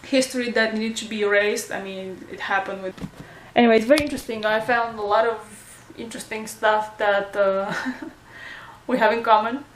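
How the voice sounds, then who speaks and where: A young woman with a Romanian accent, a native Romanian speaker, chatting casually in a home vlog and often pausing to search for words. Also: on the high side, fairly even intonation, slight background noise, poor audio. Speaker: vlogger.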